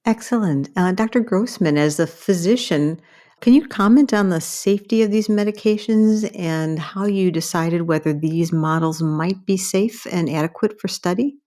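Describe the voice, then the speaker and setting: Low, mellow-voiced American woman hosting. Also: moderate pace. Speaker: podcast host and medical journal editor. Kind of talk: formal interview hosting.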